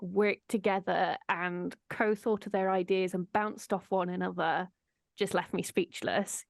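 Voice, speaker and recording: A woman's voice: medium pitch, dry recording. Giggly, enthusiastic woman, dry close sound. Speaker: audio drama contributor. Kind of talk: casual behind-the-scenes chat.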